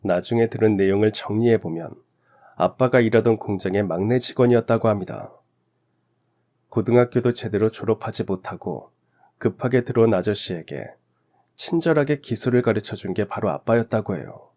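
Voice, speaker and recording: A man's voice: on the low side, normal speed, warm tone. A man narrating a scripted first-person story in radio drama style.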